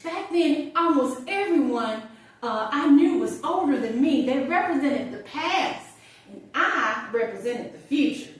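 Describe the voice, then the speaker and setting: A chatty, wry older woman, acted by a woman in a stage monologue, talks in a high, slow voice with conversational pauses. The room is very echoey around her. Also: lively intonation, far from the mic. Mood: amused, reflective. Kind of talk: theatrical audition monologue.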